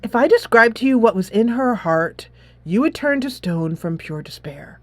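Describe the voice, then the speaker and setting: A woman's voice: on the high side, lively intonation, normal speed, dry recording, close to an everyday voice. Woman as teenage demon girl, video game villainess, scornful, biting, theatrical, dry sound, music behind. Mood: contemptuous.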